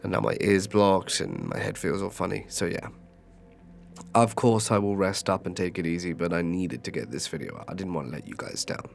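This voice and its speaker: A man hosting a horror story channel, hoarse from a cold, narrating and then chatting through an apologetic outro. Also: low voice, a little fast.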